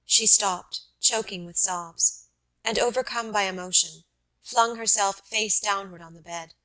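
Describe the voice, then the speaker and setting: Bright-voiced woman narrating literary fiction. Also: medium pitch, low-quality recording. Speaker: audiobook narrator.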